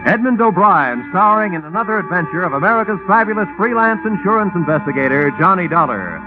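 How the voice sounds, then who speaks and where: A man's voice: sits high, expressive, quick pace, music in the background. A man delivering a scripted show introduction as an announcer in 1940s radio style. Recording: narrow, tinny old radio broadcast.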